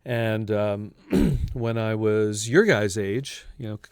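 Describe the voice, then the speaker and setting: Podcast guest, male, early 60s. Crisp voice, close dry sound, chatty self-deprecating conversational delivery. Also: lowish voice, takes it slowly, no room sound. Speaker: podcast guest, ambient guitarist. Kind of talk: casual conversational interview.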